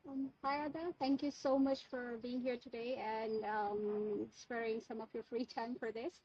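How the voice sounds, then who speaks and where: Higher-voiced woman interviewer, muffled audio. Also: level tone, normal speed, dark tone. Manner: polite, hesitant, many ums. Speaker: interviewer, channel host. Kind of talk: casual interview questions. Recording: muffled lo-fi audio with faint background noise.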